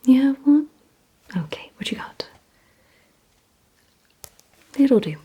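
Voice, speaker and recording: A woman whispering in a breathy, hushed ASMR roleplay style, giving deliberate instructions directly to the listener. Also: high voice, sing-song, lo-fi sound. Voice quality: breathy, mostly unvoiced whisper. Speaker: ASMR performer.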